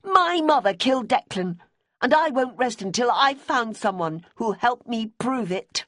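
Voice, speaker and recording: A woman's voice: a little high, animated, measured pace, fairly bright tone, no echo, clean studio sound, voice pushed up. A woman reading an audiobook, voicing an anxious young widow who talks in an urgent, pleading way, her voice raised well above her own. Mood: insistent.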